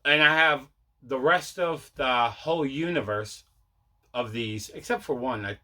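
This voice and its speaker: A man's voice: mid-range voice, unhurried, pushed and bright. A man hosting a casual unboxing video, rambling and repeating himself as he shows off his collection. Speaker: video host showing mail and movie collection.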